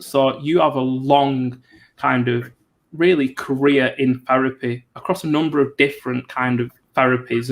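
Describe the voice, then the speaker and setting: A male host asks long, winding questions, dotting them with filler phrases. His pitch sits in the middle and barely moves, giving an even, level delivery. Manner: rambling, many "you know" fillers. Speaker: show host. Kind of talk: interview questions.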